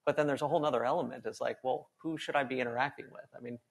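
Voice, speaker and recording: Quick talking guy with a gravelly voice, excited panel speaker on stage. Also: medium pitch. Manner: quick, enthusiastic, repeats words while thinking. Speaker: panel speaker, company executive. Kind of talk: conference panel talk.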